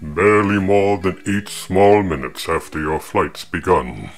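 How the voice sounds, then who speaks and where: Man voicing a very deep, booming crafty genie, theatrical storybook delivery, faint music under it. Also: animated, unhurried. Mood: sly, grand. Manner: grand, theatrical, old-fashioned speech. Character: boastful scheming male genie, grand and crafty.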